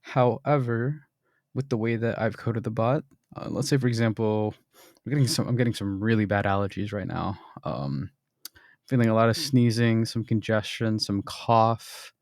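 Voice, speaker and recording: A young man with a fairly low voice walks through a screen-recorded software demo in a casual, explanatory way. He fills pauses with ums and keeps clearing his throat. The sound is dry and close, with no room behind him. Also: dry recording. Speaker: software developer demoing his bot.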